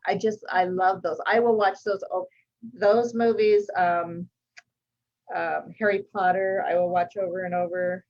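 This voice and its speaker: A woman author chatting casually on a video call, talkative and quick to laugh. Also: on the low side, flat delivery, a little mellow, lo-fi sound. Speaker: guest author.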